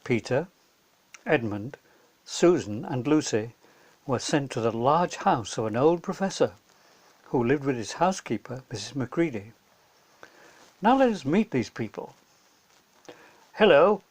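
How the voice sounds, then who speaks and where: A man's voice: mid-range voice, very animated, measured pace, pauses often, a little mellow, husky, rough recording. A man narrating a scripted children's story as a storyteller.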